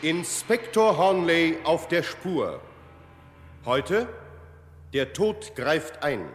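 A man's voice: on the high side, animated, drawn-out words. A man announcing titles dramatically in vintage radio crime-play style, on a reverberant archival recording.

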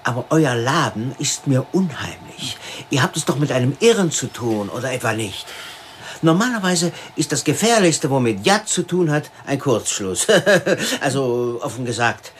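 A man acting as a jovial police department boss in a radio drama. He has a mid-pitched voice and a blunt, colloquial, wry way of talking, with faint noise around him. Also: very animated, moderate pace, natural voice. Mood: amused, wary. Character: jovial, blunt computer crime police boss, protective of staff.

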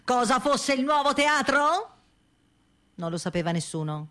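A woman's voice: high-pitched, big pitch swings, unhurried, sharp tone. A woman performing scripted theatrical recollections for a radio programme. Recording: faint music behind the voice.

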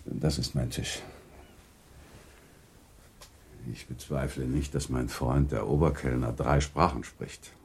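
Very deep, grave gentleman. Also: steady tone, slightly rough, full character voice. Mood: dryly urbane. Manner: measured, formal, resigned. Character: grave, courteous gentleman, confidant and second in a duel. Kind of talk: German radio drama acting.

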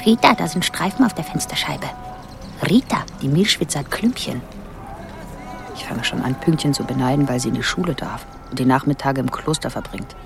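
An actress playing a wry young German daughter stuck doing housework, confiding and dryly sardonic, in a radio drama. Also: female voice, very deep voice, lively intonation, moderate pace, creaky, pauses often, over music, heavily acted. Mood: wry, resentful. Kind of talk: radio drama acting with inner monologue.

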